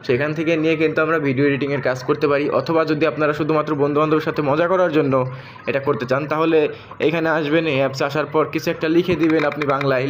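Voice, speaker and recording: A man's voice: on the high side, muffled recording. A man with a Bangladeshi accent narrating a step-by-step app tutorial, speaking directly to viewers and repeating each step. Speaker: tech tutorial host. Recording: faint background noise.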